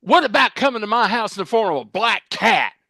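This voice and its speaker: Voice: medium pitch, very animated, a little fast, vocal fry, bright, forceful tone, no room sound, studio quality, heavily acted. A performer playing a naive, indignant eighteen-year-old young woman in a live comedy table read.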